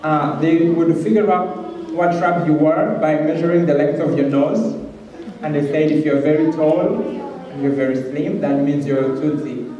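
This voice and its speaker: A man from Rwanda with a Rwandan accent gives an earnest, halting personal testimony as a guest speaker in church. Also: a little high, dark tone, dull audio. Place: large church hall, speaking to an audience. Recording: very echoey hall, distant mic, faint noise.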